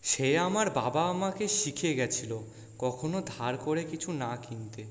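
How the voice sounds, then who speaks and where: A man voicing a poor, honest, middle-aged labourer father in a cartoon moral tale, speaking gently and patiently. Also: a little high, expressive, moderate pace, lightly acted. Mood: earnest, humble. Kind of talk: Bengali moral-story cartoon dialogue. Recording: echoey long reverb, music behind.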